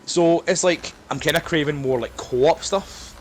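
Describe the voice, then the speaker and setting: A man's voice: fairly high pitch, unhurried, a little hiss or hum. A man in a casual, jokey, sweary chat with friends as a podcast co-host.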